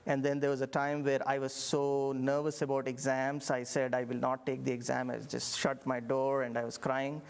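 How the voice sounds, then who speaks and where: A middle-aged man from India with an Indian accent, a professor recounting his path in a relaxed interview, reflective, with many fillers and restarts. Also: mid-range voice, normal speed, vocal fry, hardly any pauses, clear, resonant tone. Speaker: engineering professor. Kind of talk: interview storytelling.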